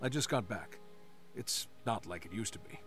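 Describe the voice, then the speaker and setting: A man's voice: on the low side, expressive, moderate pace, a bit bright, soft background music. An actor voicing an earnest young man, a former gang member turned hero in a video game. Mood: guarded, persuasive.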